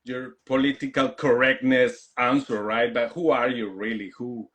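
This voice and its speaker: A man hosts a live online interview, halting a little and repeating words as he talks to his viewers and his guest. His questions come in short, loose bursts. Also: medium pitch, flat delivery, fairly bright tone. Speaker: host. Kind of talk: live stream interview hosting.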